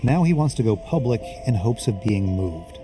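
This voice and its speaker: A man's voice: lowish voice, lively intonation, normal speed. A man working as a reporter, reading scripted narration for a documentary. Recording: music under the voice. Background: background music.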